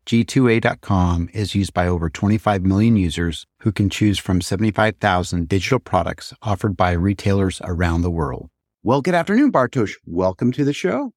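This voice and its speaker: A man's voice: low voice, lively intonation, moderate pace, darkish tone, dry recording, clean studio sound. A man hosting a business podcast, reading guest introductions and asking questions in an upbeat, friendly manner. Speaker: podcast host. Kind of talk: interview hosting.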